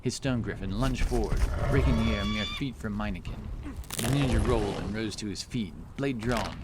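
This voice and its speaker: A man's voice: mid-range voice, huge pitch swings, moderate pace, heavy background noise. A man narrating a dramatic fantasy audio story from a script.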